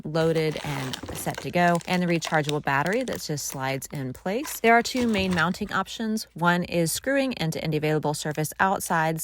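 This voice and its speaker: A woman's voice: low-pitched, slight background noise. A woman giving an upbeat product review, listing features one by one as she shows the gadget.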